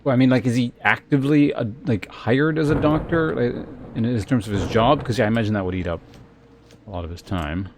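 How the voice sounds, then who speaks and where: A man's voice: medium pitch, brisk, noisy background. A man casually commentating on a video game live, thinking aloud in a hesitant way as he chats with viewers.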